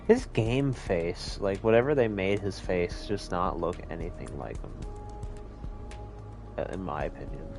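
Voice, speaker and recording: An American man giving rambling, casual gameplay commentary at home, chuckling often and quick to rant. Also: sits low, darkish tone, faint music behind. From United States. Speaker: gaming video host. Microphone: home recording setup.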